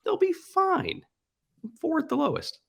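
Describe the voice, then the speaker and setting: A man's voice: very high-pitched, wildly swooping pitch, unhurried, gravelly. Confident man giving football analysis. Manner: confident, opinionated, explains at length. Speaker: guest analyst. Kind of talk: casual sports analysis chat.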